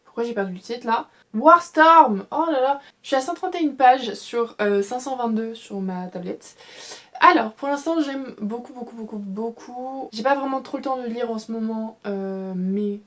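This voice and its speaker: A woman's voice: a little high, normal speed, fairly bright tone. A young woman chatting casually about her reading in a vlog, enthusiastic and full of fillers and restarts. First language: French. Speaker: book vlogger.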